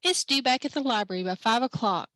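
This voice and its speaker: A woman's voice: on the high side, lively intonation, quick pace, fairly bright tone, no room sound. A woman reading aloud voices an anxious, bookish young girl, pleading breathlessly for help.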